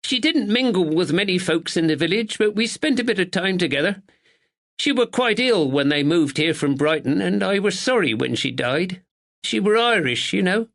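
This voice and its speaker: Man playing a middle-aged woman, nervous, polite, raised pitch. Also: expressive, moderate pace, gravelly, fairly bright tone, full character voice. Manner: timid, dialect "were". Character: anxious middle-aged village woman caring for her mother.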